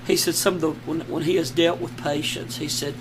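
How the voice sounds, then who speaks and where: A hoarse man lying on the floor at home, talking slowly and despairingly in halting, trailing sentences. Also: on the high side, fairly even intonation, noisy background. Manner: slow, halting, despairing, many trailing restarts. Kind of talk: casual personal vlog.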